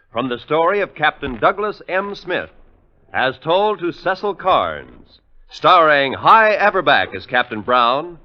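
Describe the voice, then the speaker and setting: An American man announcing scripted credits and promos in a polished 1950s network radio style with dramatic emphasis. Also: fairly high pitch. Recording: muffled 1950s radio recording, faint music.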